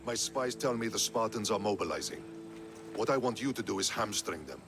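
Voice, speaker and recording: An actor playing a proud, curt, ruthlessly pragmatic Athenian general in a video game cutscene. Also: male voice, lowish voice, animated, brisk. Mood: haughty, determined. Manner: curt, haughty.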